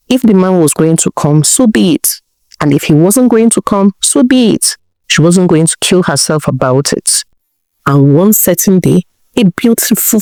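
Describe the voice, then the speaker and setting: A woman with a Nigerian accent narrating a folktale in a deliberate way, repeating phrases for emphasis. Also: low voice, darkish tone, no echo, low-quality recording. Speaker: storyteller, narrator.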